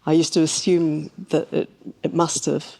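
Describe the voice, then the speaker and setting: A woman's voice: low voice, little pitch movement, measured pace, creaky, a little mellow. A woman speaking as a scientist guest in a conversation, reflective and tentative as she thinks aloud.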